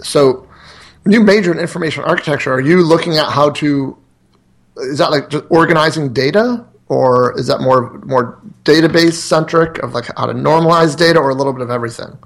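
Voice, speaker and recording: A man's voice: a little high, brisk, gravelly. A man from central Connecticut co-hosting a podcast, asking guests friendly, joking follow-up questions in a casual way. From central Connecticut, USA. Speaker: podcast co-host. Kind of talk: casual interview chat.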